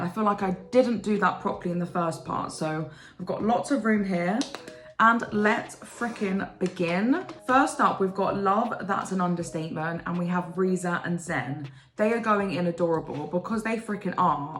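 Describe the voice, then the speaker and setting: A woman vlogger chatting casually to camera in a bubbly, gushing way, her voice a little croaky from the morning. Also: medium pitch. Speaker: book vlogger. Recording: roomy room with faint music.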